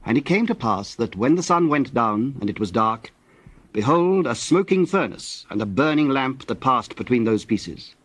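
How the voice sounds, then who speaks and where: A man narrating scripture from a script in a measured, solemn manner. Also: medium pitch, animated, breathy edge, dry recording.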